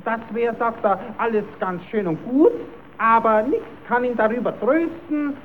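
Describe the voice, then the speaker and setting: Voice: mid-range voice, lightly acted. A performer playing a cheeky puppet clown who stammers as he spins tall tales for children in a German radio play. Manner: stammering, repeating himself, improvising tall tales. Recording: tinny, narrowband, hissy old radio recording.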